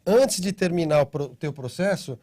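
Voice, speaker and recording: A middle-aged man with a Brazilian Portuguese accent, a company head being interviewed as a guest, explaining a process step by step and often adding quick tag questions. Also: on the high side, expressive, warm tone. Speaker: interview guest, citizenship consultancy CEO.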